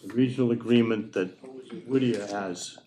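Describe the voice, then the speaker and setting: A man's voice: fairly low pitch, moderate pace. Guy chairing a town board meeting, reads agenda items plainly, light background noise. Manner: procedural, plainspoken.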